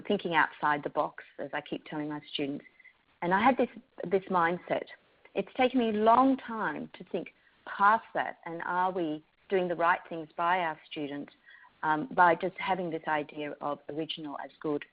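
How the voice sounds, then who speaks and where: Australian woman academic, low voice, hesitant with ums, dull lo-fi recording. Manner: thoughtful, frequent ums and restarts. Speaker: university lecturer. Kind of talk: prepared talk to educators.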